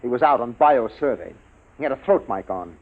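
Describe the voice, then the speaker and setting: A man's voice: mid-range voice, animated, fairly quick, dry recording, lightly acted. Analytical male space scientist played by an actor, mid-range voice, earnest explaining, vintage tinny radio sound. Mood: grave. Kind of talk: 1950s radio drama acting. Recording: muffled, tinny old radio recording.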